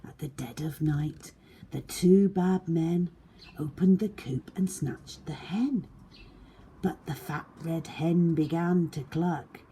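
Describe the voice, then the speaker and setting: A woman narrating a rhyming picture book for young children in a theatrical, deliberate manner. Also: sits low, mellow, slight background noise.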